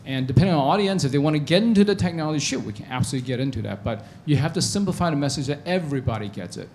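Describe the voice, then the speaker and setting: A man's voice: a little high, lively intonation, racing, a little mellow, a little hiss or hum. Man explaining with frequent "right?". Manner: friendly, explanatory, often tags "right?". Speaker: panelist from enterprise tech. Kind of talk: giving advice on a panel.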